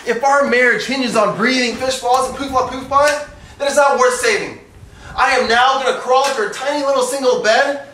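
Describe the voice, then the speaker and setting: An actor playing an exasperated, sarcastic young lawyer husband, arguing drily in theatrical comic dialogue. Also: male voice, very high-pitched, fairly even intonation, normal speed, pushed and bright, distant mic. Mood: weary, sarcastic. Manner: dry, argumentative, sardonic. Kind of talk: theatrical comedic stage dialogue. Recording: echoey room with background noise.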